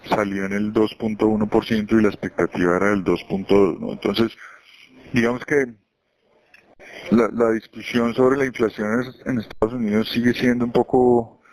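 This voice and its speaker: A man with a Colombian accent giving financial commentary as an investment executive, in a measured explanatory way with frequent self-corrections. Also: lowish voice, rough recording. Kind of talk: market commentary on a conference call.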